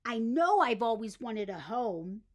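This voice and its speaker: A woman's voice: on the high side, big pitch swings, measured pace. A woman voicing a small, innocent toy bear in a storybook, wondering and wistful. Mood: contented wonder. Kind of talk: storybook character reading.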